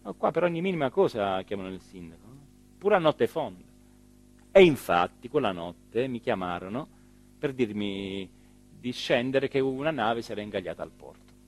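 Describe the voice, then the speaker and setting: An actor playing a rueful, self-important small-town mayor in a rambling, hesitant theatrical monologue. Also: male voice, mid-range voice, expressive. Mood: reflective, defiant. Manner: rambling, hesitant, emphatic, with repeated phrases and pauses. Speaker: stage actor performing a radio theatre monologue.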